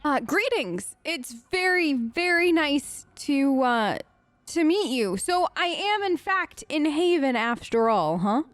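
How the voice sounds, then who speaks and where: Casting: woman let's player, higher-pitched, improvising in character, chatty, halting, overly polite, dry studio-close sound. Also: big pitch swings, no echo. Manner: chatty, hesitant, awkwardly polite. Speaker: let's play streamer. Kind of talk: improvised in-character game role play.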